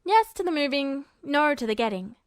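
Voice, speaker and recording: A woman's voice: high voice, expressive, moderate pace, no echo, studio quality. A woman voicing a stubborn, cocky teenage older brother with a casual, brusque manner in an audiobook story. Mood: cool, brusque. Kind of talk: audiobook character voice.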